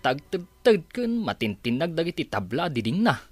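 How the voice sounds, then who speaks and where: A man's voice: on the high side, big pitch swings, moderate pace. A man with an Ilocano accent playing an argumentative, sarcastic, hard-drinking son in an audio drama. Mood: indignant. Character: aggrieved hard-drinking son quarrelling over gambling and money.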